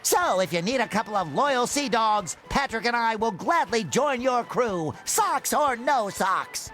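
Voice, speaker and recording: High-pitched, excitable cartoon sponge. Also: male voice, a little slow, soft background music, exaggerated voice. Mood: cheerfully loyal. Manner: earnest, excitable, cheery. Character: eager, childlike, naive male talking sea sponge. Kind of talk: animated game cutscene acting.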